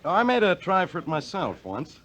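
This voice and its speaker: Animated, ingratiating American pushy diver. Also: male voice, high-pitched, a little fast, exaggerated voice. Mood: probing. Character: friendly, pushy male diver angling to join in. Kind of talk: 1950s radio drama acting. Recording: vintage 1950 radio broadcast.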